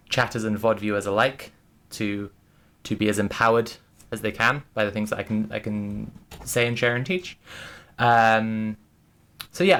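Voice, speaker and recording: A woman's voice: very deep voice, fairly bright tone. A woman streaming, talking casually and thoughtfully to her chat, with many restarts and fillers.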